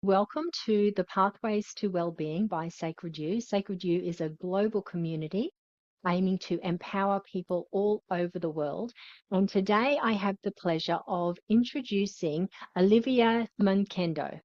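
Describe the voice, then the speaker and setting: A woman's voice: medium pitch, unhurried, a little mellow, no room sound, dull audio. A woman hosting an interview, introducing her guest in an enthusiastic way. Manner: enthusiastic, upbeat. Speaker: host.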